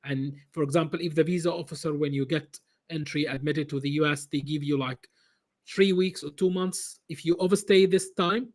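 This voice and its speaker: A man answering interview questions in a halting way, with many restarts and repeated words. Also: on the high side, steady tone, darkish tone. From Egypt. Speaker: business consultant guest.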